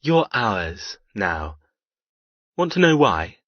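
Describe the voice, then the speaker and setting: Man voicing a slow, softly menacing captor. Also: on the low side, very animated, dry recording, lo-fi sound, full character voice. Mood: cold taunting. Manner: soft, menacing, taunting. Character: cold, cruel, wealthy young male captor.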